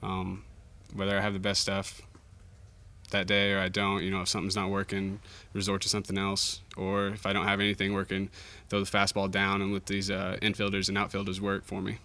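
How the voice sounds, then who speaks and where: A young man, a college baseball pitcher, giving modest, understated answers at a post-game press conference. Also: completely flat delivery, fairly quick, pushed and bright. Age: young adult. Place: indoor press conference room. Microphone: table microphone.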